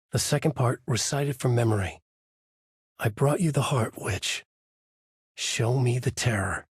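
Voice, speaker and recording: A haunted, desperate writer in a psychological horror game. His voice is husky and breathy at mid pitch, recorded very dry and close. He delivers everything with brooding, dramatic intensity. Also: no echo. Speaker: voice actor.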